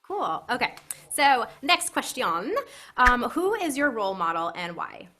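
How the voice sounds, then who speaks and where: A woman's voice: on the high side, very animated, measured pace, noisy background. A woman hosting a podcast over a video call, upbeat and encouraging as she asks questions. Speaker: podcast host. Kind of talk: casual interview. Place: small room indoors. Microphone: headset on a video call.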